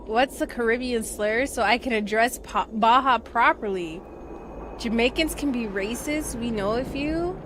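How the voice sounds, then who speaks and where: A woman streaming a game, chatting casually with viewers in halting, repeated half-phrases and exclamations. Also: on the high side, background noise. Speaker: live streamer playing a game. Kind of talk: casual livestream chat with viewers. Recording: game music behind the voice.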